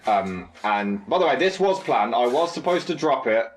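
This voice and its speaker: A man's voice: mid-range voice, sing-song, moderate pace. Man chatting casually through a film commentary. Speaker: filmmaker and actor on a cast commentary.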